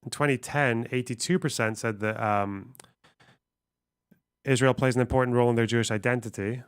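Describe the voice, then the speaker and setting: A man's voice: mid-range voice, steady tone, moderate pace, clear, resonant tone, no echo, studio quality. A man streaming, reading text aloud and musing on it casually, swearing offhandedly. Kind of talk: casual livestream commentary.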